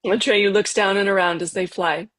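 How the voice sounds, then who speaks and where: Mid-pitched woman reading stage directions. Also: fairly even intonation, moderate pace, fairly bright tone. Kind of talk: scripted narration at a table read.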